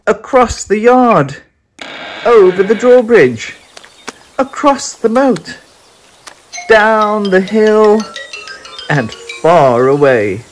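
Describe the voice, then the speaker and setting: A narrator reading a children's picture book aloud in a dramatic, suspenseful, expressive way with deliberate phrasing. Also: medium pitch. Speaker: storybook narrator.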